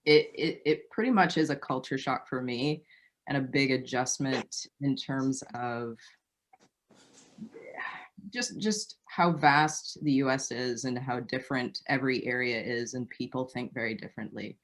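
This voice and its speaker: A woman from Barbados, a guest photographer, talking over a video call in a thoughtful, measured way with some fillers. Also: extremely low pitch. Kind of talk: casual interview chat.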